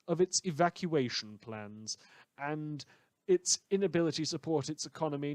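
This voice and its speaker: A man's voice: mid-range voice, no echo. A man playing a wry, rambling late-night rural radio host in an audio drama, theatrical and sarcastic.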